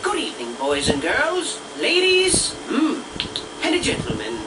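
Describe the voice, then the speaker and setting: An actor playing a gleefully dramatic, hammy storybook narrator in a comic video game, with singsong, swooping delivery. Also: male voice, very high-pitched, wildly swooping pitch, unhurried, exaggerated voice. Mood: mock cozy. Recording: noisy distant re-recording of game audio.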